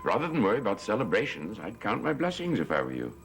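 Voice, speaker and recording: An actor playing a scheming, domineering older husband in an old soap opera, condescending and coldly instructive. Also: male voice, lowish voice, wildly swooping pitch, fast talker, rough recording. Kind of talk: 1960s soap opera acting. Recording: old TV soundtrack with music beneath.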